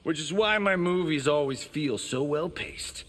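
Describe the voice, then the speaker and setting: Wisecracking male film star, high and animated, flippant game banter in long reverb. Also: a little slow, background noise. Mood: cocky, flippant. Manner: flippant, showy. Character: cocky, wisecracking vain male movie star fighter. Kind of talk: video game fight intro dialogue.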